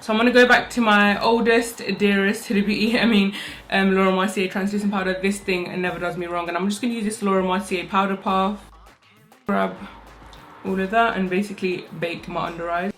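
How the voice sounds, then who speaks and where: A young woman talks quickly and casually as she does her makeup at home. Her voice still sounds sleepy. Faint music plays in the background. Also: medium pitch, fairly even intonation, a bit bright. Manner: fast, chatty, rushed talk with fillers. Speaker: makeup vlogger. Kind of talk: casual get-ready-with-me makeup tutorial. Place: home, indoors. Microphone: own microphone.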